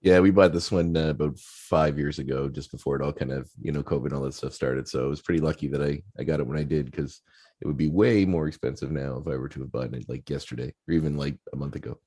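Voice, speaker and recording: A man's voice: rumbling low, steady tone, fast talker, a little mellow. A man hosting a podcast, chatting casually with his guest and rambling with lots of fillers. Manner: conversational, rambling with many fillers. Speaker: podcast host. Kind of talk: casual interview chat.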